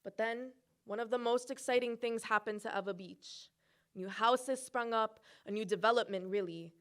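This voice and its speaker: A woman's voice: fairly high pitch, moderate pace, fairly bright tone. A young woman from Hawaii performing a personal narrative at a recital, with playful asides and comic timing. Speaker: student presenter.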